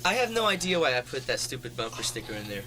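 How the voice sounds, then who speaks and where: Bright-voiced joking musician man. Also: medium pitch, sing-song, quick pace, slight background noise, poor audio. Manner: joking, rambling, wry asides. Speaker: musician guest. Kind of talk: casual radio interview. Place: campus radio studio.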